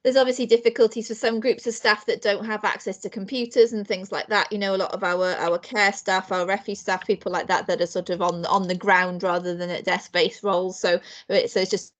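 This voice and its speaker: A woman reports to a council panel over a video call. She restarts sentences often and pads them with fillers. Her pitch sits in the middle range. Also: level tone, brisk, hardly any pauses. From Wales. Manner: hesitant, many restarts and fillers. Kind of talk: online council meeting update.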